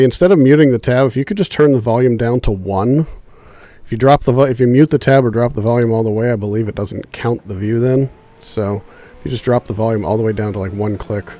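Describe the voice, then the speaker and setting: A man's voice: medium pitch, warm tone, dry recording. Congested, nasal-sounding man streaming. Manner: chatty, laid-back, thinks aloud with fillers. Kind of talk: casual gaming livestream chat.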